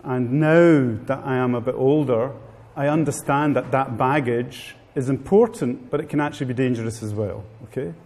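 A man's voice: medium pitch, moderate pace, darkish tone. Italian-accented man in an echoing hall. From Italy. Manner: informal, wry, addresses the audience directly. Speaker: guest speaker. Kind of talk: live seminar talk. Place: seminar room with live audience. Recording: long hall reverb.

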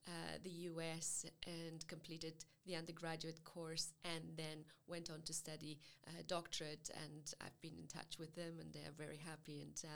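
A woman's voice: deep voice, monotone, moderate pace, creaky, hardly any pauses, fairly bright tone. A woman from Poland with a Polish accent, a psychology lecturer in an interview, speaking carefully with many hesitations. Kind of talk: interview about university study.

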